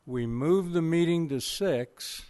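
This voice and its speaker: A man's voice: fairly high pitch, takes it slowly, dark tone, clean tone. A man on a city council making easygoing remarks at a meeting, with a joking tone. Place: council chamber.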